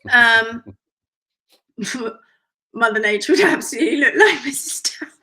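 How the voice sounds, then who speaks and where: Croaky, hoarse woman hoof care instructor. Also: on the high side. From United Kingdom. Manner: animated and emphatic, quick asides. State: hoarse from strained vocal cords. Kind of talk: live session chat, reading viewer comments.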